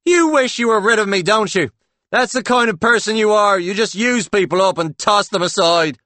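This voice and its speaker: Shrieking, melodramatic wronged lover. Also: male voice, moderate pace, pushed and bright, dry recording. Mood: wounded melodrama. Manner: theatrical, shrill exclaiming. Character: scheming man staging a melodramatic, wronged lover's tantrum.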